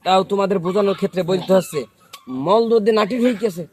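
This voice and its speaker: A man's voice: high voice, quick pace, darkish tone, slightly rough, soft background music. A man tells a comic tall tale to listeners, dramatically, repeating phrases for suspense. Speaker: storyteller telling a tall tale. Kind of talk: comic yarn-spinning to listeners.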